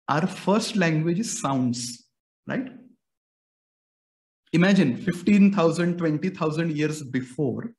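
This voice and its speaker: A young man from India talks through his ideas in Indian-accented English, his first language being Marathi. His voice sits a bit higher than most men's. He explains patiently, like a teacher walking a student through everyday comparisons. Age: young adult. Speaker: music educator. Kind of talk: explanatory interview answers.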